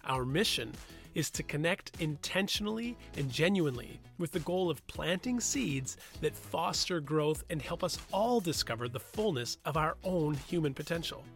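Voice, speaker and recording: A man's voice: fairly high pitch, animated, moderate pace, faint music behind. A man hosting a podcast, moving between scripted introduction and casual conversation. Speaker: podcast host.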